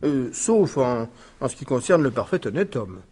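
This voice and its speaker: Husky police sidekick in a radio mystery, hesitant n deferential, bit wry. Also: male voice, mid-range voice, big pitch swings, dark tone. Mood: wry, amused. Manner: halting, hedging, modest. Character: deferential, dryly amused male police assistant. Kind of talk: French detective radio drama acting.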